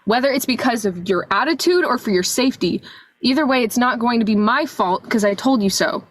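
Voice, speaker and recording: A woman's voice: fairly high pitch, brisk, no echo, lightly acted. A young woman playing a bossy, worried older sister in an amateur radio play. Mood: upset. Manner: scolding, exasperated.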